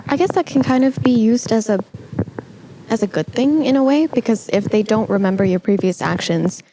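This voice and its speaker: A woman's voice: a little high, normal speed, mellow, a little hiss or hum, low-quality recording. A woman hosting a podcast, talking in a conversational, reflective way as she interviews a guest and shares her views.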